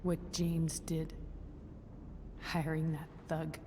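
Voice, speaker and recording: A woman playing a broken, guarded mother in a game drama, speaking in a weary, deliberate way with bitter resignation. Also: sits low, flat delivery, dark tone, big-room sound, slight background noise, exaggerated voice. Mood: firm, pleading. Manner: weary, deliberate, clipped phrases. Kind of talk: naturalistic dramatic game acting.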